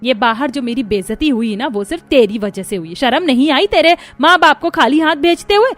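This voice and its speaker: A woman's voice: sits high, lively intonation, brisk, faint music behind. A woman voicing a bitter middle-aged mother-in-law in a cartoon story, scolding and complaining with sarcasm. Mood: angry, scolding. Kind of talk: Hindi cartoon story dubbing.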